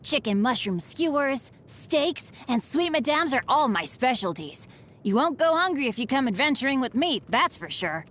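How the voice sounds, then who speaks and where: A woman's voice: high-pitched, very animated, normal speed, fairly bright tone, full character voice. A woman voicing an upbeat, earnest teenage boy adventurer in a video game, eager and boyish. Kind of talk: scripted game voice lines. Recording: music behind the voice.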